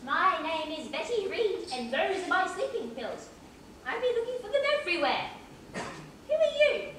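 A woman's voice: extremely high pitch, very animated, moderate pace, a bit bright, heavily acted. A woman playing a chatty, dotty old grandmother with theatrical, rambling delivery in an amateur stage play. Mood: indignant. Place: large theatre stage, indoors. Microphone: far camera. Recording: echoey theatre from far off, noisy.

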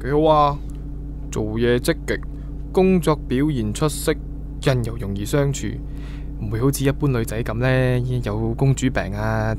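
An actor playing a chatty, earnest young adult son in a radio drama. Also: male voice, mid-range voice, slight background noise, lightly acted. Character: young adult son, chatty, earnest, nudging his father toward romance. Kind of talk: radio drama dialogue, conversational.

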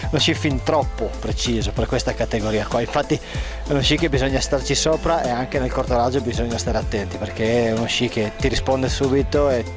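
A man's voice: mid-range voice, a little fast, music in the background. Direct man ski tester. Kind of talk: product review.

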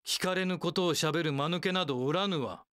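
A man's voice: fairly high pitch, fast talker, a bit bright, smooth, no echo, clean studio sound, close to an everyday voice. An actor playing a cold, haughty warlord strategist in an action game, imperious, clipped and full of scorn for his underlings. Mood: cold disdain. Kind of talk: Japanese action game voice acting.

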